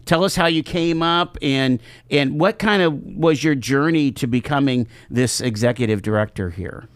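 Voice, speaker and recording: A man hosting a live talk show from a studio, upbeat and quick with fillers. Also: medium pitch, clear, resonant tone, dry recording. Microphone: studio mic.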